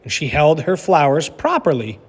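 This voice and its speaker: A man teacher reading a picture book aloud to children with animated storytelling, then asking them questions about it. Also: fairly high pitch, normal speed, husky. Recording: echoey room with long reverb.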